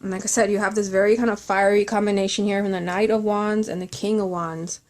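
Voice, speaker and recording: A woman's voice: mid-range voice, little pitch movement, fast, darkish tone. A woman reading tarot cards in an informal, reflective way, speaking earnestly and directly to the listener. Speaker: tarot reader.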